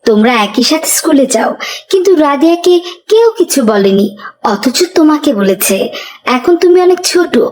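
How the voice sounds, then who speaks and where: A gentle, pious mother in a children's cartoon, acted by a woman. She explains patiently and instructively, with lively rises and falls in her voice. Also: sits high, moderate pace, slight background noise. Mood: patient teaching.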